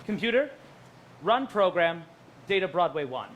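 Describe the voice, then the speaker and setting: An actor playing an emotionless, earnest android officer with stiff, precise speech in a musical comedy stage reading. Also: male voice, high-pitched, sing-song, normal speed, fairly bright tone, slight background noise. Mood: formal, deadpan. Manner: stiff, formal, precise wording. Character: emotionless male android officer, earnest, formal, staging a show. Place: live staged reading at a theater festival.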